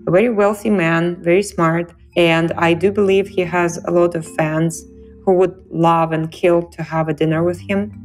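Young Russian-accented woman, earnest and halting. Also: fairly low pitch, flat delivery, darkish tone, faint music behind, poor audio. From Siberia, Russia. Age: young adult. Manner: earnest, searching for words with fillers. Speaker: interviewee. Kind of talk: interview excerpt.

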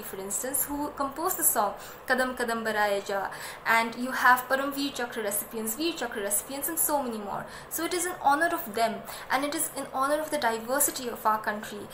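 A young woman who grew up speaking Nepali talks earnestly into a phone camera in a small room. Her voice is high. The room adds a clear echo and a faint hiss. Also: brisk, sharp tone. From Darjeeling, India. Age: young adult. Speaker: student presenter. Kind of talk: casual informative talk.

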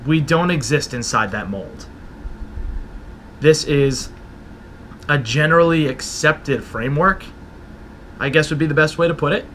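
A man in his thirties hosting a solo podcast from a small room at home, talking slowly and reflectively in an encouraging way into a desk mic. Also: on the high side, a bit bright, a little hiss or hum. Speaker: podcast host. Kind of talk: motivational solo talk. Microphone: studio mic with pop filter, camera at desk.

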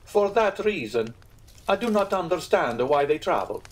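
A man's voice: a little high, big pitch swings, moderate pace, pitched above the performer's own voice. A man voicing a proud, easily piqued Italian innkeeper in a storybook, earnest and emphatic.

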